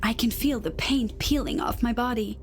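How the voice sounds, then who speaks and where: A woman's voice: a little high, moderate pace, natural voice. Actress as a cryptic game woman, faint music. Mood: cryptic, brooding. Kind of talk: video game character voice line.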